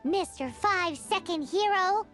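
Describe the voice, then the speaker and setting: A woman's voice: extremely high pitch, unhurried. A woman voicing a tiny, cheeky, bossy childlike companion in a video game, teasing and nagging. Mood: teasing, indignant. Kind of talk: video game character acting. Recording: loud music behind.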